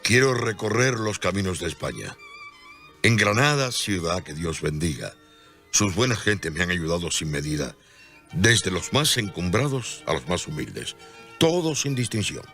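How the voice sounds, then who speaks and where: An actor playing a devout, selfless and determined friar in a solemn, earnest radio drama style. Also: male voice, deep voice, very animated, a little slow, a bit bright, faint music behind, exaggerated voice. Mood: fervent, grateful. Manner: earnest, fervent, declamatory.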